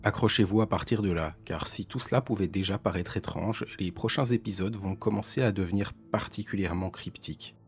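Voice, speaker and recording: Casting: male explainer narrator, deep register, serious and measured, faint music underneath. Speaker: narrator of video essay. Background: background music.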